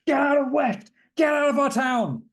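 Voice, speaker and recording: A man voicing angry small-town residents yelling to drive outsiders away in a tabletop game. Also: very high voice, moderate pace, pitched above the performer's own voice. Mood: furious.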